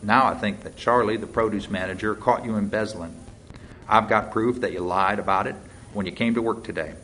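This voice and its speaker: A man voicing a smug, folksy small-town deputy who questions people in a forceful, accusing way for an audiobook. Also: lowish voice, fairly quick, a little hiss or hum, close to an everyday voice, rough recording.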